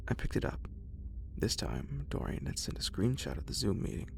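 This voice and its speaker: A man narrating a horror story in a breathy, whispered ASMR style with dramatic delivery. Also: on the low side, big pitch swings, normal speed, noisy background.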